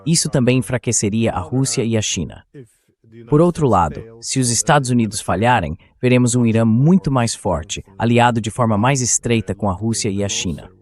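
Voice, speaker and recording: A man's voice: medium pitch, animated, normal speed, a little mellow. A man with a Brazilian accent voicing a dubbed political interview as the host.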